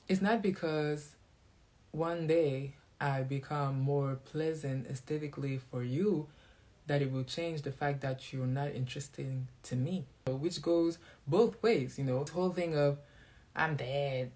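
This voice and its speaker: A man's voice: fairly high pitch, a little mellow. A man from Belgium, a native French speaker talking in English, giving a sassy, defiant monologue to his viewers. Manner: sassy, defiant, throws shade. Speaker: vlogger.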